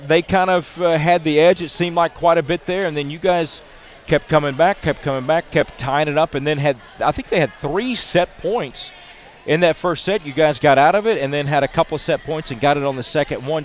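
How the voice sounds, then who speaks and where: A man's voice: fairly high pitch, fairly quick, soft background music. Chatty older male sportscaster. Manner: chatty, stat-heavy, upbeat delivery. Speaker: sports broadcaster. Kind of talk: postgame interview. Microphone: headset mic.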